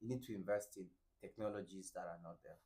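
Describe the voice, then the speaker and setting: A man's voice: low-pitched, fast talker. A young man from Burundi whose first language is French, explaining venture capital in conversation and acting out dialogue while checking in with "right?". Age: young adult. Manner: animated, acts out dialogue with many "right?" checks.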